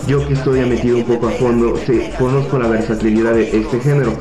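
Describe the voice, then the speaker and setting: A sixteen-year-old Mexican boy from Puebla, talking softly and hesitantly into a webcam in a small office, with many fillers. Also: medium pitch, fairly even intonation, quick pace, a crowd in the background. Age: teens. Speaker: young reggaeton artist. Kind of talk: self-interview to webcam. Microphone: webcam at desk. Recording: echoey room with music behind.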